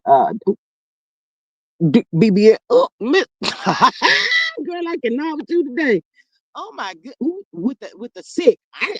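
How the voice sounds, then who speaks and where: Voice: mid-range voice, no echo, highs cut off. A performer voicing an older mother who stammers with fillers and calls everyone honey and child while chatting over viewer comments on a livestream. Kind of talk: improvised comedic character livestream.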